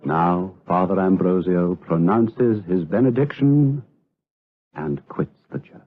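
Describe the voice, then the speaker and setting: A man playing a solemn, rapt narrator in a gothic audio drama, speaking in a grave, measured, ornate way. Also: deep voice. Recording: muffled narrow-band sound with music behind.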